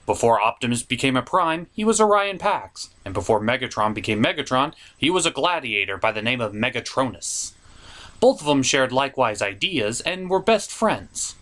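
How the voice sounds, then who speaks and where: A man hosting a puppet review show, delivering animated recap commentary in a theatrical, emphatic showman style with drawn-out words. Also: mid-range voice.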